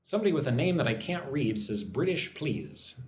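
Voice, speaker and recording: An American man runs a relaxed English lesson on a livestream, reading out viewer comments and chatting back by name. His voice rises and falls in an animated way. The room has a noticeable echo with a faint hiss underneath. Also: medium pitch, moderate pace. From United States. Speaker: English teacher. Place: home in Vietnam.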